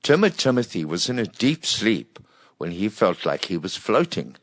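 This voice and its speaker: A man narrating a scripted bedtime story for children in an expressive read-aloud manner. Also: lowish voice, moderate pace, dry recording.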